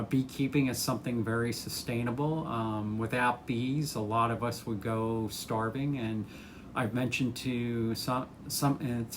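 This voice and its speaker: A retired man in his sixties, a former chemist, talking casually to the camera about his plans, thoughtful and unhurried, with many ums and restarts. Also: medium pitch, noisy background. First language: English.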